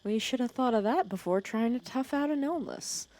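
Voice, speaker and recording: A woman playing a protective, teasing female villain who scolds and cares for her hero nemesis in an ASMR roleplay, sarcastic and often dropping to a hush. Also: fairly high pitch, lively intonation, brisk, close to an everyday voice. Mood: teasing, caring. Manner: scolding yet caring, sarcastic, dropping into hushed breathy asides. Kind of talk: ASMR roleplay audio drama.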